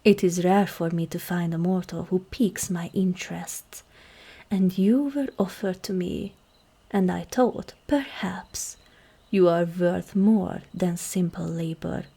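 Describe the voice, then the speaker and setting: A woman playing a regal, seductive ancient demon queen in an ASMR roleplay, measured and commanding, with a husky, breathy, creaky voice that often softens toward a whisper. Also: on the low side, steady tone, heavily acted. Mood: languid, intrigued. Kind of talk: ASMR roleplay, scripted monologue to the listener.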